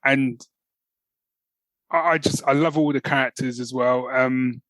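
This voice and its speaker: A man with a medium-pitched voice co-hosts a casual podcast. He speaks as an enthusiastic fan, informal and chatty, often checking that the listener follows. The sound is dry, with no room echo. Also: steady tone, a little slow, fairly bright tone. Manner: chatty, informal, often checks in with "right?" and "you know what I mean". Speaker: podcast co-host.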